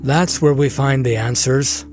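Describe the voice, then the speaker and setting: A man voicing a grim, steady male adventurer with a grave manner in a fantasy audio drama. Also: mid-range voice, unhurried, music in the background, pitched above the performer's own voice, lightly acted. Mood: resolute.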